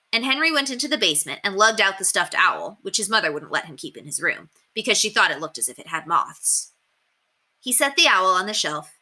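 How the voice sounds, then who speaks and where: A woman reads a children's novel aloud with an animated delivery. Her tone is strikingly bright and her pitch moves freely, giving the narration an emphatic storytelling shape. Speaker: story reader.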